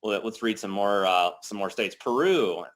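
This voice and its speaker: Guy in his 30s hosting a team call, lowish voice, upbeat, lots of you know and like. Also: normal speed, fairly bright tone. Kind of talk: casual motivational call.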